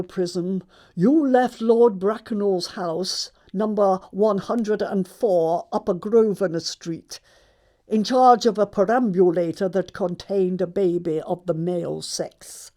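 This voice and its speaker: A woman's voice: mid-range voice, slow pace, dark tone, lightly acted. A woman playing a snobbish, haughty older lady in a stage comedy audiobook, with an upper-class English accent and a pompous, commanding manner. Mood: accusing. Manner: pompous, commanding, emphatic enunciation.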